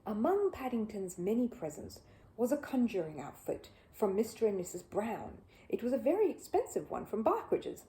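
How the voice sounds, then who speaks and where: A woman reading a children's book aloud as an expressive storyteller. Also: mid-range voice, normal speed. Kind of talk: expressive storybook narration with a casual greeting.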